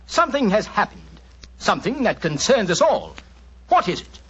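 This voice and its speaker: Impatient, defiant villain, sharp and wildly animated delivery, muffled vintage radio play. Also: male voice, sits high, normal speed, breathy edge, heavily acted. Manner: forceful, blunt, demanding. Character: blunt, impatient, defiant estate manager, secretly murderous villain. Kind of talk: 1940s radio drama acting. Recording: muffled 1940s radio broadcast.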